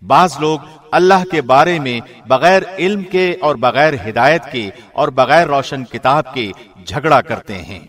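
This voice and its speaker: A man giving a formal studio reading of a scripture translation, measured and solemn. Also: mid-range voice. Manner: measured, solemn, even delivery. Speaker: translation reader. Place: recording studio.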